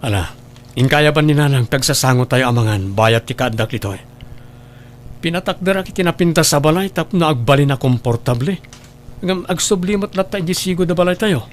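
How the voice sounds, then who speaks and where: A man acts as a caring, protective elder son in an Ilocano family drama. His voice is a little high for a man, with an Ilocano accent. A hum and some noise sit behind it. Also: lively intonation, fairly quick, lightly acted. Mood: earnest. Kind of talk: Ilocano radio-style family drama acting.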